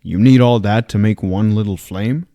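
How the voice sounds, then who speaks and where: A man's voice: lowish voice, moderate pace, mellow, close to an everyday voice. A man voicing a bitter, wary male petty thief in a story reading, with terse, guarded, slangy talk. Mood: curious.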